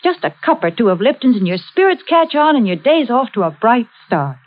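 A woman announcer delivers an old-time radio commercial. Her voice is fairly high and very animated, with salesy emphasis. The sound is narrow and tinny. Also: a little fast. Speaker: sponsor announcer.

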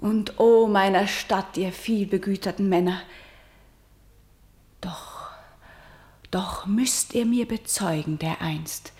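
A woman playing a condemned, defiant young woman lamenting in solemn, measured tragic verse for a German radio play. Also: mid-range voice, darkish tone. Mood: hushed anguish. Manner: solemn, measured, dropping from ringing lament to whisper. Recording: echoey, roomy studio sound.

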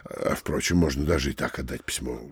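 A man's voice: very deep voice, a little fast, vocal fry, slightly rough, no room sound, studio quality, exaggerated voice. A man playing an anxious, scheming middle-aged town mayor in a theatrical comedy audio play, hesitant, wheedling and exclamatory. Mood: wheedling, nervous.

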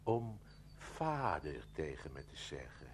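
An actor playing a pompous, pushy stranger in a Dutch radio play, stammering, repeating himself and talking floridly. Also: male voice, very deep voice, very animated, slow pace, slight background noise, full character voice. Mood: wheedling. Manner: stammering, repetitive, florid and insistent.

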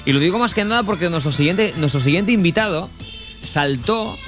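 Chatty Spanish man hosting radio, higher-pitched. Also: expressive, brisk. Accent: Spain. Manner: jokey, chatty, many tag questions. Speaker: radio show host. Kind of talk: casual radio chat. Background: music under the voice.

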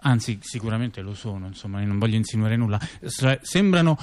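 A man playing a reflective intellectual in a radio theatre dialogue, recounting events and memories in a halting, conversational way with repeated words. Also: fairly low pitch, animated, a little mellow, close to an everyday voice. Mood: wry, reminiscing. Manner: halting, repeats words and restarts while recollecting. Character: reflective male intellectual recalling political events. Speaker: performer in a two-person radio theatre dialogue.

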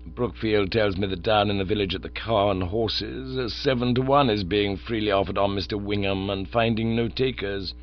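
A man's voice: lowish voice, steady tone, faint music behind, close to an everyday voice. A man doing a grave, formal manservant. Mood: measured. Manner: formal, measured, unflappable. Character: grave, deferential male valet, middle-aged. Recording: dull lo-fi recording with mains hum.

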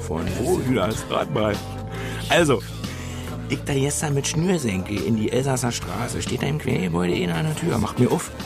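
An actor playing a boastful working-class ex-convict street hawker with a Berlin accent and colloquial patter in a radio drama. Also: male voice, medium pitch, big pitch swings, moderate pace, gravelly. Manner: loud haranguing sales patter, colloquial. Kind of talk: German radio drama acting. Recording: music behind the voice.

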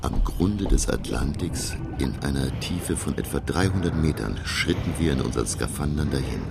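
An actor playing a learned professor who narrates his undersea adventure in a radio play, deliberate and full of wonder. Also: very deep voice, gravelly, full character voice. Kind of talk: dramatic first-person radio play narration.

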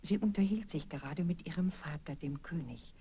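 Low-voiced woman telling fairy tales. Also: moderate pace, warm tone, a little hiss or hum. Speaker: storyteller narrator. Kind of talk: scripted fairy-tale narration for children. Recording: vinyl record transfer with music.

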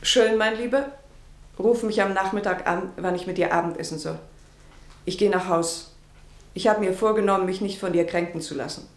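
Casting: actress as devoted, patient woman for radio drama, medium pitch, solicitous and gently admonishing. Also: moderate pace, lightly acted. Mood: hurt, composed. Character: caring, patient woman, mothering lover of a troubled writer. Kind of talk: German radio play acting.

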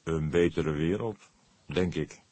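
A man voicing a grey-haired veteran detective in an audiobook, thoughtful and courteous, with deliberate, earnest phrasing. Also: rumbling low, a little mellow, no echo, heavily acted.

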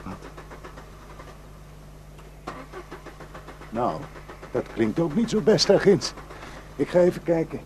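A man's voice: fairly high pitch, very animated, normal speed, darkish tone, a little hiss or hum. An actor in a radio drama playing a protective, suspicious, hot-tempered village herdsman and husband, scornful and impulsive. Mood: easygoing, helpful.

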